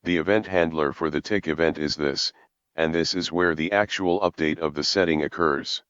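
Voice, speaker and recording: A man presenting a scripted programming tutorial in a measured, explanatory way with formal wording. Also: a bass voice, steady tone, a bit bright, clean tone, no room sound, highs cut off.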